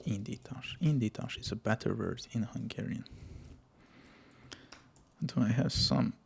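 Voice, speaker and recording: A man, a software engineer thinking aloud while he codes, halting and often dropping into muttered whispers. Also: mid-range voice, creaky, slight background noise. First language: Serbian.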